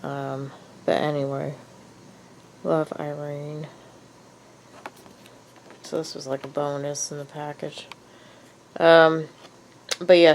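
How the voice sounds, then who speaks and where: A woman's voice: sits low, gravelly, darkish tone, slight background noise, poor audio. A woman with a cold, sniffly and hesitant, talking casually in a show-and-tell vlog with lots of ums and uhs. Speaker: vlogger.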